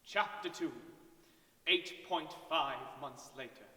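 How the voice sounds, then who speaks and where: A man reads a comic story as grand drama, slowly and theatrically. His voice is fairly high and piercingly bright, with long reverb from a hall. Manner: theatrical, with exaggerated pauses and swells. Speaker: story reader. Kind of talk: mock-serious dramatic reading of comic story.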